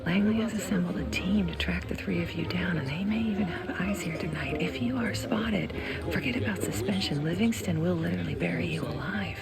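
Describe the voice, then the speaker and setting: An actress playing a tense, guarded woman in a video game, speaking with hushed, emphatic urgency. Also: fairly low pitch, brisk, natural voice. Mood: urgent warning. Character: tense, guarded woman quietly warning a colleague. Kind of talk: acted game cutscene dialogue. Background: loud music.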